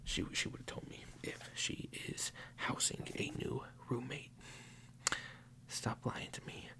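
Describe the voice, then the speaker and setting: A man whispering a playful mock police interrogation as an ASMR roleplay, slow and teasingly accusing.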